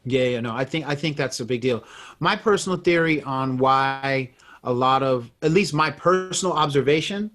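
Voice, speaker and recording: A man's voice: mid-range voice, moderate pace. A man hosting a podcast interview, chatting casually and animatedly. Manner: animated, acts out quoted voices. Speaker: podcast host.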